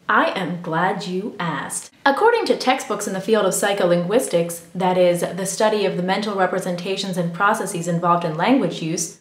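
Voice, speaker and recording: A woman vlogger with English as her first language, giving a formal, precise talk on language learning to her viewers. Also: medium pitch. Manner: formal, precise, academic wording. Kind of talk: scripted explanatory talk to camera. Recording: echoey room.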